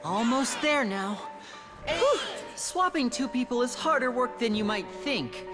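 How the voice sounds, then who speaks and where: An actor playing a playful, cheeky, boyish young magician putting on a show in a game. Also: male voice, very high-pitched, animated, unhurried. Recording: loud music behind.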